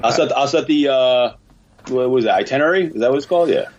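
A man's voice: mid-range voice, animated, fast talker, a little mellow, lo-fi sound, slight background noise. A man speaking as a podcast guest over an internet call, bantering casually in a blunt, teasing way. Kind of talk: casual banter, friendly argument. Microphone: remote voice call.

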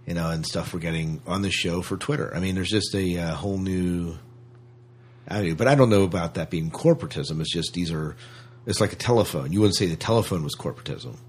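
A man's voice: low voice, fairly quick, slight background noise. A man co-hosting a podcast in casual conversation, thinking aloud and posing questions. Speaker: podcast co-host. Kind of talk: casual chat discussion.

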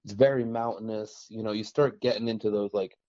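Low, mellow-voiced New England man. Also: fairly quick, no echo, lo-fi sound. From Western Massachusetts. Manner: relaxed, rambling, many "like" and "you know" fillers. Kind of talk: casual conversation about trapping.

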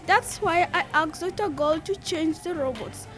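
A woman's voice: very high voice, a little slow, fairly bright tone, faint music behind. A teenage girl playing an earnest, guilt-ridden young wife in a radio drama, pleading as she speaks. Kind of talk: youth radio theatre acting.

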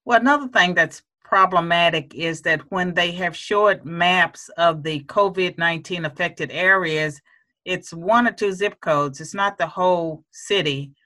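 An interview host and former political candidate who argues political points in a measured, pointed way. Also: medium pitch, pushed and bright. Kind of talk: interview discussion.

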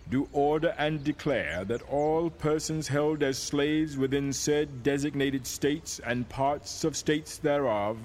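A man's voice: fairly high pitch, takes it slowly, few pauses, darkish tone, slight background noise. A man playing a solemn, resolute American president delivering a proclamation in a stately, oratorical manner. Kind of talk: dramatic reading of a proclamation.

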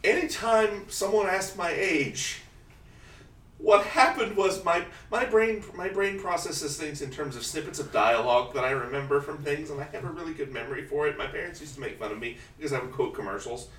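A man in his thirties in casual chat, animated and laughing often, slipping into exaggerated quoted voices. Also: on the high side, a little fast. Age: 30s. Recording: roomy echo, distant mic, faint hiss.

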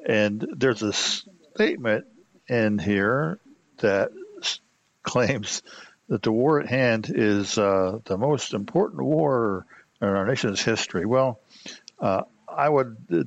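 A man giving explanatory commentary as a host, in a halting, reflective manner. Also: fairly low pitch, vocal fry, no room sound. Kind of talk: explanatory commentary on old radio. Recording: slightly muffled, dull sound.

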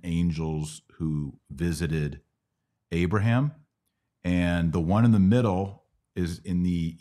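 A middle-aged American man with a very low-pitched voice hosts a casual teaching show. He explains things informally and didactically, in short conversational pieces. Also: mellow. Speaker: podcast host. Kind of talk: live Bible Q&A, casual teaching.

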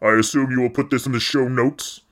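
A man's voice: mid-range voice, fairly even intonation, fairly quick, fairly bright tone. A man co-hosting a podcast, reading listener mail with a dry, teasing delivery. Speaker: podcast co-host. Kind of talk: casual podcast chat.

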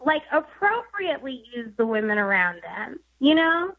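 A woman's voice: sits high, very animated, normal speed, slightly rough. A young woman with a sweet, girlish voice, chatting as a guest on a talk show, laughing often and using lots of fillers. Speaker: guest musician. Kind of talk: casual interview chat. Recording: dull lo-fi sound.

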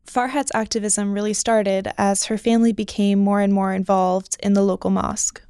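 Female podcast narrator; measured, crisp, dry studio read. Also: mid-range voice, level tone, warm tone, dry recording. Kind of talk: scripted narration.

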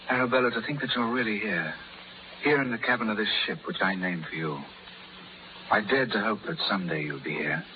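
A man's voice: lowish voice, natural voice. Man playing a passionate pirate captain, muffled old radio. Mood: ardent, tender. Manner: ardent, theatrical, commanding. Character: bold, proud, passionate young pirate captain. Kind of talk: 1930s radio drama acting. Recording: muffled, noisy 1930s radio recording.

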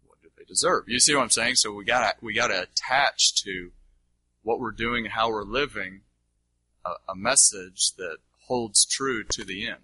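Male teacher, very bright forceful voice, lowish pitch, dry close mic; informal, emphatic Q&A delivery with repetitions. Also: moderate pace, breathy edge, dry recording, poor audio. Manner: emphatic, repeats himself, checks listeners follow. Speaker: teacher taking audience questions. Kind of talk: informal question-and-answer teaching.

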